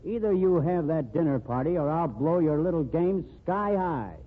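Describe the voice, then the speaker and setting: A man's voice: a little high, lively intonation, normal speed, natural voice. An actor playing a stern, jealous man who warns a woman and threatens to expose a secret, in an old radio drama. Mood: threatening. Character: jealous, warning male friend. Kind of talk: 1940s radio drama acting. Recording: tinny lo-fi old radio broadcast.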